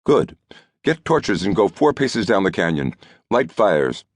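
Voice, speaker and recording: A man voicing a young lord who commands a fire brigade in an audiobook, formal, brisk and decisive. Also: on the low side, sing-song, no room sound, pitched above the performer's own voice. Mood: urgent, commanding. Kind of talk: audiobook character dialogue.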